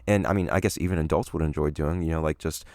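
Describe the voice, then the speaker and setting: A young man with a deep, slightly raspy voice hosts a solo podcast in a loose, meandering way. He hedges often and leans on fillers as he thinks aloud. The sound is dry and close, with no room echo. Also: fairly even intonation, fast, darkish tone. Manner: rambling, hedging, many fillers. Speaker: podcast host. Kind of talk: solo casual podcast monologue.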